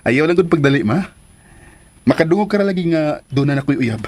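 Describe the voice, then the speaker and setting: A man's voice: a little high, sing-song, moderate pace, no echo. A man with a Cebuano Filipino accent playing a teasing, bashful young engineer in a radio drama. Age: 20s. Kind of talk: Philippine radio drama acting.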